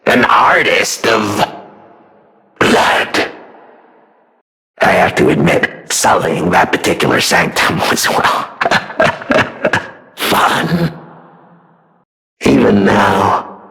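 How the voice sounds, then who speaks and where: A man's voice: on the low side, big pitch swings, very slow, creaky, pauses often, pushed and bright, exaggerated voice, rough recording. A man voicing a boastful, sadistic killer puppet in a video game, speaking as a theatrical, gloating villain. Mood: menacing pride. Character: boastful, sadistic male killer puppet, self-styled blood artist.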